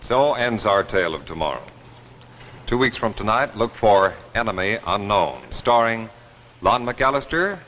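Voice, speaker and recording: A man's voice: fairly low pitch, expressive, measured pace, a little hiss or hum. A man announcing in a scripted 1950s television style. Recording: muffled 1950s broadcast with organ music. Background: organ music.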